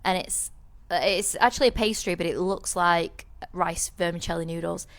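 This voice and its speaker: A woman's voice: lowish voice, fairly even intonation, normal speed. A woman co-hosting a podcast, telling a rambling story in casual chat, with many restarts. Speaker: podcast co-host.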